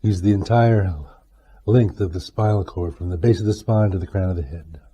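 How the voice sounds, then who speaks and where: A man leads a guided meditation in a very dark, soft-edged, mellow voice. His pitch sits on the low side. He gives instructions deliberately, in short measured phrases with space between them. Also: fairly even intonation, husky, dull audio. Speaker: meditation teacher.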